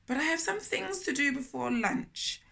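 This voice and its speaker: A woman voicing a greedy, eager male spider from a children's storybook, in an expressive, self-satisfied way. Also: high voice, moderate pace, creaky, pitched above the performer's own voice, exaggerated voice. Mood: eager, scheming.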